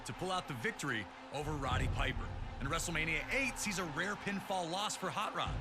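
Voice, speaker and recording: A man narrating a scripted sports documentary with dramatic, announcer-like storytelling. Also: a little high. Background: music.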